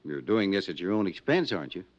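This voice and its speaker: Muffled vintage radio sound frames a fretful, friendly American insurance man. The voice is on the low side and rises and falls expressively, earnest in the manner of 1950s radio drama. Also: sing-song, fast talker. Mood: astonished, doubtful. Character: anxious, friendly male insurance company man.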